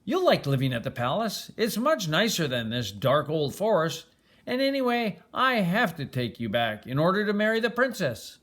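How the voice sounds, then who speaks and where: An older man voicing a kind, earnest young prince in a children's storybook, speaking gently. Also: sits high, very animated, normal speed, full character voice. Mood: gentle concern.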